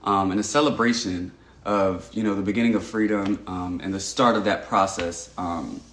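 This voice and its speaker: A man's voice: deep voice, fairly even intonation, moderate pace, fairly bright tone. Earnest young man in an echoey room. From Texas. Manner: earnest and reflective, frequent "um" and restarts. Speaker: livestream host. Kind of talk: casual livestream chat reading comments.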